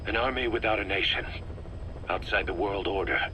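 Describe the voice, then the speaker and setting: An actor playing a stern, sceptical military interrogator in a video game, speaking in a terse, guarded, deadpan way. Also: male voice, lowish voice, normal speed, rough recording. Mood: probing, measured. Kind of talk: dramatic video game voice acting. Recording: faint background noise, cassette tape interrogation.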